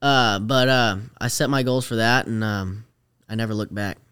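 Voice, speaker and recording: A man's voice: on the low side, normal speed, a bit bright, slight background noise. Young guy rodeo rider chatting on a podcast, kinda rambly and slangy, stops and starts. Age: late teens to young adult. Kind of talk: casual podcast chat.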